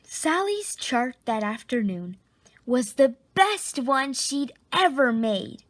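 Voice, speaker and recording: A woman reading a children's picture book aloud in an expressive, dramatic storytelling style. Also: high-pitched, slow. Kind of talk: animated storybook read-aloud.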